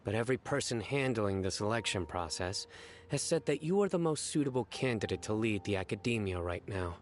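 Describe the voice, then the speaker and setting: A man's voice: lowish voice, lively intonation, fairly quick, slight background noise, natural voice. A man playing a stern, dutiful, reserved law enforcer in a video game, grave and formal. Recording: game music under the voice.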